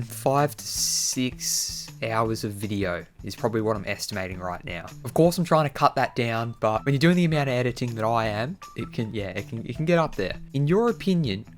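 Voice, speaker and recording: A man speaks in a relaxed, conversational way, like a video creator chatting directly to his audience. His voice sits at an average pitch for a man, and music plays behind him. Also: moderate pace, hardly any pauses. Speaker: YouTube creator answering viewer questions. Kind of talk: casual chat to viewers.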